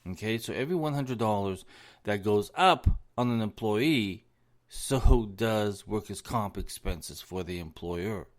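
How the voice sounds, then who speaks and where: A man's voice: fairly low pitch, expressive, a little slow. A man hosting a solo podcast, losing his voice, talking in an indignant tone and repeating words as he rants. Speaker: podcast host.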